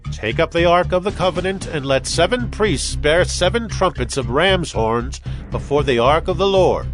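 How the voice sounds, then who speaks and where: An actor playing an earnest, commanding leader of ancient Israel, declaiming solemnly in an audio Bible drama. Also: male voice, a little high, expressive, moderate pace, music in the background, natural voice.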